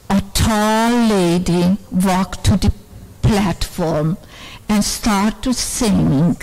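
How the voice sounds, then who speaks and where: A woman's voice: mid-range voice, a little mellow. An older woman from Serbia gives an earnest speech to a women's conference in a large hall. She speaks into a microphone on a stand at a lectern and tells her story in non-native English.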